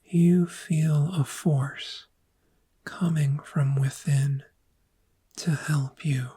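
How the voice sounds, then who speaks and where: A very breathy, whispered voice, a woman acting as a calm dragon therapist in an ASMR roleplay. The delivery is extremely slow and deliberate, with a soft, mellow tone that gently soothes the listener through guided imagery. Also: low-pitched, exaggerated voice. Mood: soothing, encouraging.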